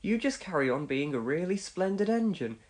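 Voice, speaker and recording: A dependable old steam engine, voiced by a man from a children's storybook. He is kind and calm, speaking in a high voice with plenty of rise and fall. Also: moderate pace. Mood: calm, reassuring. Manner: calm, gentle, orderly.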